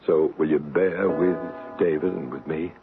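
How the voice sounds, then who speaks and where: Earnest psychic investigator, tinny recording. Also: male voice, rumbling low, fairly even intonation, music in the background. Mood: soothing, earnest. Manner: measured, explanatory. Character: earnest male psychic investigator, soothing and solemn. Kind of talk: 1970s radio drama acting. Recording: noisy, phone-like 1970s radio recording.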